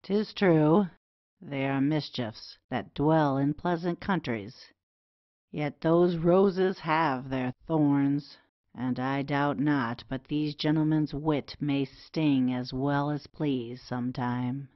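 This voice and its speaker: A woman playing a melancholy, bitter gentleman suitor in an old comedy, speaking formally and with measure. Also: low-pitched, takes it slowly, no room sound, dull audio. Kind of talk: Restoration comedy audio play acting.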